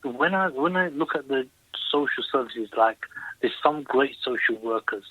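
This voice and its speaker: A man's voice: mid-range voice, animated, normal speed, dry recording. A man calling in to a radio show, talking in an earnest, emphatic way and repeating his points. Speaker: caller. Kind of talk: phone-in call to a radio show. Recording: tinny phone line.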